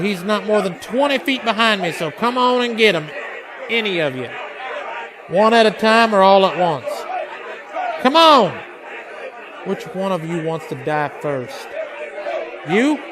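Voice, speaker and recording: A man playing a stern, world-weary marshal in a Western radio drama, with a commanding, curt manner. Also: high-pitched. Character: stern, world-weary male frontier marshal facing down lynch mobs.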